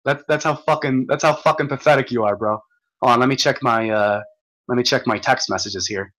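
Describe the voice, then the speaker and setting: A man hosting a livestream, ranting in a profane, stumbling, repetitive way while reading comments, his voice going hoarse. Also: mid-range voice, brisk, fairly bright tone. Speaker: livestream host. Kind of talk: rambling livestream rant.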